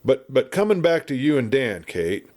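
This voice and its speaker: A man's voice: mid-range voice, sing-song, normal speed, darkish tone, voice pushed up, natural voice. A man voicing a garrulous, good-natured but irascible frontier marshal in a storybook, with an American Western drawl and folksy, blustery chatter. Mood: gossipy, animated. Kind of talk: storybook character voice.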